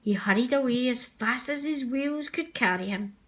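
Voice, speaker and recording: A woman's voice: on the high side, moderate pace, fairly bright tone. A woman narrating a children's storybook aloud. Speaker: storybook reader.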